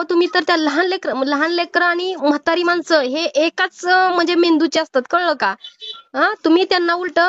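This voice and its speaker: A woman with a Marathi accent speaks in a high voice on a dull, dry phone recording. She admonishes and counsels the listener. Her manner is insistent and moralising, full of pointed rhetorical questions. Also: a bit bright, dry recording. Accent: Marathi, Maharashtra. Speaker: old-age home worker taking a call. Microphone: phone call. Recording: muffled lo-fi phone call recording.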